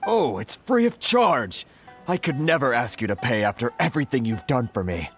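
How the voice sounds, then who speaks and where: A man's voice: medium pitch, big pitch swings, normal speed, soft background music, natural voice. A man voicing an earnest, grateful merchant in a video game, talking with thankful hesitation.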